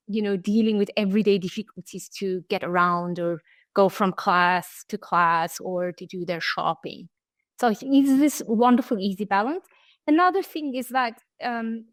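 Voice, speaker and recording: Measured woman, dry sound. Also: a little high, no echo. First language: Turkish. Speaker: study abroad program director. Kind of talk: interview answers.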